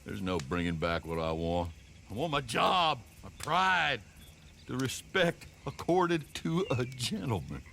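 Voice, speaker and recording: A man's voice: mid-range voice, very animated, measured pace, creaky, sharp tone, slight background noise, heavily acted. A man playing a bitter, disgraced old working man who rambles indignantly in a video game cutscene. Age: older.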